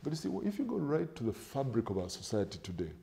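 A middle-aged man, a pastor, answering in a studio interview with a measured, emphatic preaching style, building points in lists and quoting scripture, on a lapel mic. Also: mid-range voice, very animated, gravelly, dark tone. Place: TV studio set with sofas.

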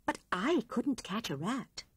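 A woman voicing a gentle, careful female grey rabbit who speaks softly and earnestly. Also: fairly high pitch, big pitch swings, takes it slowly, breathy edge, dry recording, heavily acted. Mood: hushed. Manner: soft, hushed, earnest.

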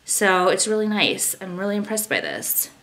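A woman's voice: mid-range voice, very animated, moderate pace, a bit bright. Woman, 30s, chatty, rambling, self-deprecating, home vlog, camera at arm's length. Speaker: vlogger. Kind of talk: casual vlog chat with product talk. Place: bedroom at home, indoors.

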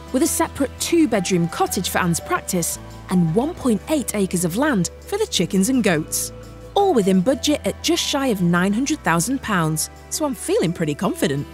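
A British woman reading scripted voice-over narration for a property show with a bright, confident delivery. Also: medium pitch, very animated, normal speed. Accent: British English. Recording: light music under voice-over.

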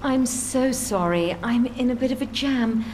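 A woman's voice: on the high side, a little slow, a little mellow, a little hiss or hum, natural voice. A woman playing a politely apologetic, sweetly unhelpful desk clerk in a video game. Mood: falsely sweet.

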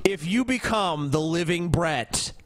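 A man's voice: fairly high pitch, expressive, measured pace. Male podcast host, swinging intonation, irreverent and profane banter, slight background noise. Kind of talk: casual panel chat.

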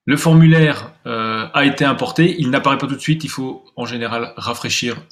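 A man's voice: mid-range voice, brisk, fairly bright tone. A man presenting a screencast tutorial step by step, thinking aloud with some hesitation as he demonstrates.

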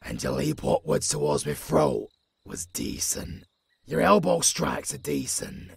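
A man with a British accent playing a tough old werewolf mentor in an audio roleplay, gruff but caring, with a growling, raspy voice and a commanding manner. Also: mid-range voice, wildly swooping pitch, no room sound, heavily acted. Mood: gruff approval. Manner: slow, deliberate, commanding.

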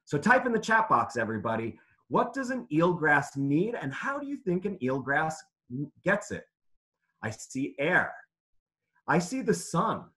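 A man's voice: a little high, very animated, a little slow, bright, forceful tone. A man reading aloud to children as a teacher would, in simple phrases, putting questions to his listeners. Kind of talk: interactive children's read-aloud lesson.

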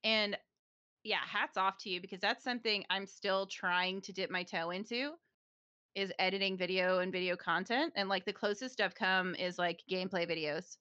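Bright, chatty woman, lo-fi dry mic. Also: medium pitch, moderate pace, clean tone, no echo. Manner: chatty, laughs often, many fillers. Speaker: podcast co-host, streamer. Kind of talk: casual chat.

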